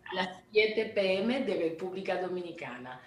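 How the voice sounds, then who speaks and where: A woman in her forties from Haiti speaks on a video call from a home studio, set back from the mic in a roomy, echoey space with faint ambient hiss. Her voice sits fairly low for a woman, and she explains earnestly, pausing to restart a word now and then. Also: lively intonation, recorded from a distance. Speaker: image consultant guest. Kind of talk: remote interview over video call. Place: home studio room indoors. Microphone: video call from a laptop camera.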